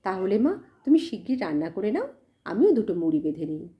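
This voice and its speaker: A woman's voice: medium pitch, big pitch swings, fairly quick, lo-fi sound, close to an everyday voice. A woman voicing a humble, loyal village cowherd man in an audio story, simple, deferential and eager to help. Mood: obliging.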